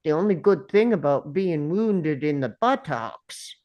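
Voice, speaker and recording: A woman playing a slow, simple, earnest young Southern soldier man in a script table read, speaking plainly. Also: deep voice, lively intonation, a little mellow, dull audio, pitched below the performer's own voice.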